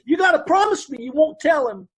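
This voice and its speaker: An actor playing a nervous middle-aged dance hall owner who rambles in loose, ungrammatical speech, in an old-time radio drama recreation. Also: male voice, very high-pitched, animated, brisk. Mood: anxious.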